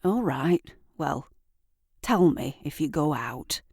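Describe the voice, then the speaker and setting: Audio drama role: tired caring man, few words, gentle worry, played by a woman narrator, dry recording. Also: deep voice, animated, takes it slowly, slightly rough, no room sound, full character voice. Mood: tentative, caring. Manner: terse, caring. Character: weary, worried, gentle man checking in.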